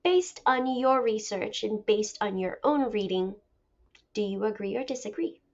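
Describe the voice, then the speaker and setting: A woman teacher reading a picture book aloud as a lesson, with deliberate, expressive storytelling. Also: mid-range voice. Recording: dull lo-fi recording.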